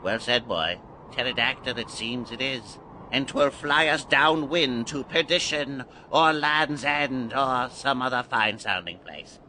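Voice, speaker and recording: A man's voice: mid-range voice, unhurried, bright, forceful tone, a little hiss or hum, low-quality recording. An actor playing a theatrical, mysterious, commanding man in an audio drama, speaking in a grandiose, archaic way and relishing his words. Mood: grand, pleased. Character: theatrical, mysterious, commanding man leading boys on a Halloween adventure.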